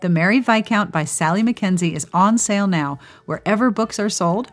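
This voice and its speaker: A woman's voice: medium pitch, fairly quick, soft background music. A woman hosting a podcast in a chatty, playful way, reading intros and putting questions to guests. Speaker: podcast host and interviewer. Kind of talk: upbeat podcast intro, ad read and interview.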